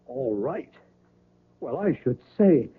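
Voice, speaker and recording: An anxious writer in a radio play, with a husky, mid-pitched voice. He speaks earnestly and haltingly. The old recording is muffled and tinny. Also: very animated, exaggerated voice. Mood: startled, eager. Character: earnest, anxious struggling male novelist. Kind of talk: old-time radio drama acting.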